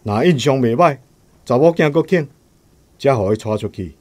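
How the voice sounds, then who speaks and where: An actor with a Taiwanese accent playing an open-minded but careful village father in a radio drama, measured and instructive. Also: mid-range voice, expressive, a little mellow, lightly acted. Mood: measured, firm.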